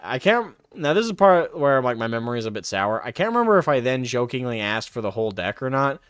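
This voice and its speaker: A man's voice: mid-range voice, big pitch swings, fast talker, fairly bright tone, clear, resonant tone, no echo, studio quality. A man streaming casually, reading card text aloud and drifting into rambling personal stories. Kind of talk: casual live stream chat.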